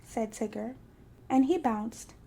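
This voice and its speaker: A woman narrating a children's audiobook in an expressive storytelling style. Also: fairly high pitch, slow pace, creaky, husky.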